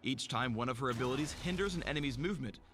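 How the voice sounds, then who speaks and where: A man's voice: mid-range voice, expressive, very fast. A man reading scripted narration for a video game character guide. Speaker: game champion spotlight narrator.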